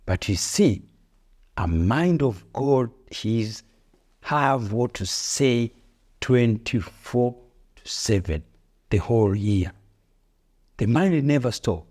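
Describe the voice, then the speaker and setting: Middle-aged Rwandan-accented man, fairly low voice, earnest motivational co-host who repeats himself. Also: darkish tone. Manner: earnest, preachy, repeats phrases, broken English. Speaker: podcast co-host, father. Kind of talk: casual motivational chat.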